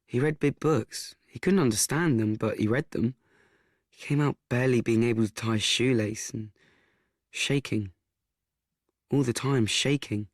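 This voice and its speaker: A man's voice: mid-range voice, measured pace, pauses often, no echo, close to an everyday voice. Dry, close-up awkward schoolboy played by a man. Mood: earnest, confiding. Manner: hesitant, rambling, self-conscious. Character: awkward, nervous, earnest teenage schoolboy. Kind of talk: radio play acting with confiding narration.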